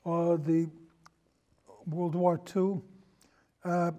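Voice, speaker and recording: A man's voice: fairly high pitch, flat delivery, extremely slow, mellow. An older man in casual, reminiscing conversation, hesitant and prone to wandering off on digressions. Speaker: guest, amateur historian and old time radio podcaster.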